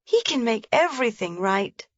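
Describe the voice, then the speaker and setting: A woman's voice: animated, normal speed, fairly bright tone, dry recording. A woman voicing an eager, anxious little mountain girl in a storybook reading. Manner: high, eager, pleading. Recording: slightly dull, dry lo-fi recording.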